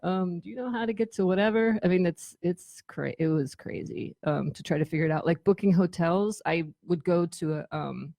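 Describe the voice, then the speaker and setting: A woman's voice: on the low side, moderate pace, gravelly, hardly any pauses, warm tone, no room sound, clean studio sound. A middle-aged woman chatting casually as a guest, telling anecdotes in an informal, sweary way and acting out bits of dialogue. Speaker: guest, former band tour manager.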